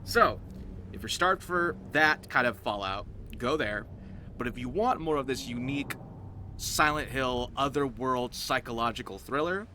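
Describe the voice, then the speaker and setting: A man's voice: mid-range voice, bright, forceful tone, slight background noise. A man hosting a channel announcement, explaining his roleplay series to viewers in emphatic short phrases. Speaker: roleplay series creator and host.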